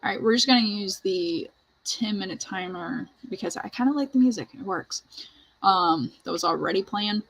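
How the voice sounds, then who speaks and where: A woman's voice: mid-range voice, moderate pace, vocal fry, breathy edge, low-quality recording. A woman hosting a casual writing livestream, talking in a chatty, informal way full of "like". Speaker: livestream host.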